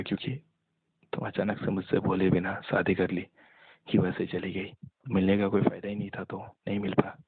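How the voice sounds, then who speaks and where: A young man speaks in a low, husky voice with very little melody. He sounds halting and resigned, as in a private conversation over a narrow phone line. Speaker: caller talking with an ex-partner. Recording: muffled narrow-band phone call, echoey.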